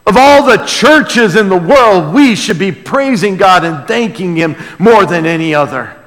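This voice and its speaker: An American man preaching a conversational sermon as a pastor, earnest and emphatic, with hushed asides and rhetorical questions. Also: high voice, lively intonation, unhurried. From United States. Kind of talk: sermon, conversational Bible teaching. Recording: long hall reverb.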